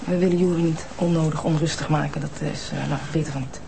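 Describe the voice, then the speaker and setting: A woman's voice: sits low, steady tone, quick pace, vocal fry, noisy background. An actress playing a worried young mother who talks anxiously, in a Dutch TV drama.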